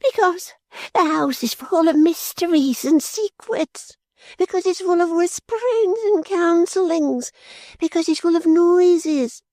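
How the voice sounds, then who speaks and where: A man's voice: extremely high pitch, unhurried, a little mellow, no echo, pitched above the performer's own voice. A man reading an audiobook, voicing a frightened, superstitious servant woman with rustic, ungrammatical speech. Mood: fearful. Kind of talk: audiobook character voice.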